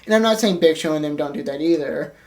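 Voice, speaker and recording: Low-pitched woman chatting casually on a podcast. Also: fast talker, a little mellow. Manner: informal, frequent "like". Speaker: podcast co-host. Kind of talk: casual chat.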